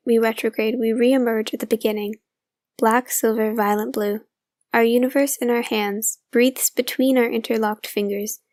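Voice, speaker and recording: A woman reciting poetry deliberately, in short phrases set apart by pauses. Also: fairly high pitch, a little mellow, no echo, clean studio sound. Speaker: poetry reader.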